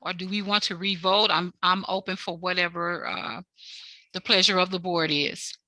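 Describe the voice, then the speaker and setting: A woman's voice: lowish voice, steady tone, normal speed, sharp tone, no echo. A woman chairing a board meeting, moving business along with some hesitation and fillers.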